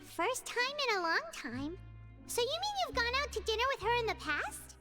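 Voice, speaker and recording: A squeaky, chirpy little fairy. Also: female voice, very animated, normal speed, over loud music. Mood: excitable. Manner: chirpy, speaks of herself in third person. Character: tiny childlike female fairy companion, curious and excitable. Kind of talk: cartoonish video game character dialogue.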